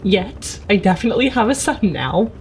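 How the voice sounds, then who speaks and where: A woman's voice: medium pitch, very animated, moderate pace, faint music behind, low-quality recording. A woman playing a tabletop game, chatting casually in character. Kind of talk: casual in-character roleplay chat.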